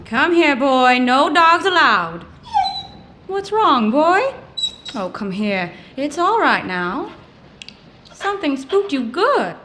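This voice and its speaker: A woman's voice: sits high, big pitch swings, slow, pauses often, sharp tone, slight background noise, full character voice. An actress playing a practical, plucky young woman, wry and commanding, in an old-time radio adventure. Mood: soothing.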